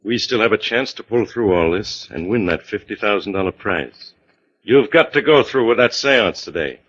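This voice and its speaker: A man's voice: on the low side, lively intonation, moderate pace, fairly bright tone. An actor playing a persuasive, insistent male swindler in 1940s radio drama style. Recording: dull 1940s radio recording.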